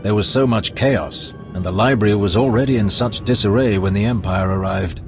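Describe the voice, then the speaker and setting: Polite, deliberate Imperial agent played by a man, slightly low voice, music under. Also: natural voice. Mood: reserved. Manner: formal, courteous, deliberate. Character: formal male Imperial spymaster, polite and deferential. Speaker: advisor. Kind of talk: video game cutscene acting. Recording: music score behind.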